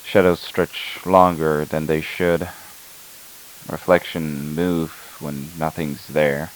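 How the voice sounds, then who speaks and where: A weary male scientist on night watch , played by an actor, speaks in a low voice over faint tape hiss. He is measured and halting, clinical but uneasy, as in a found-footage horror log. His delivery is very slow. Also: level tone, rough recording. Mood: uneasy, reflective. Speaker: night-shift researcher recording an audio log. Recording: hissy analog tape with static.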